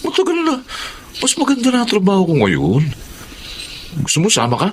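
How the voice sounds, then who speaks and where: A man's voice: sits high, wildly swooping pitch, fairly quick, a little hiss or hum. A man playing a cocky, boastful young buddy in a Filipino radio drama, chummy and repeating himself.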